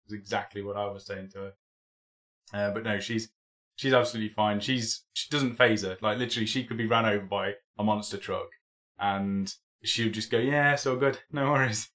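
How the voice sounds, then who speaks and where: A man's voice: lowish voice, steady tone, fairly quick, a bit bright. A young man hosting a live hobby stream in casual, rambling chat, still a bit tired from a cold. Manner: rambling, chatty, many ums and restarts.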